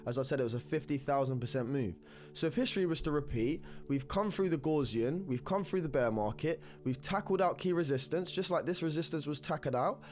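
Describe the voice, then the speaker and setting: A man's voice: medium pitch, darkish tone. Stuffy-nosed man analysing charts. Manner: rambling, repeats words, upbeat. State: congested with a cold. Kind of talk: screen-recorded technical analysis talk.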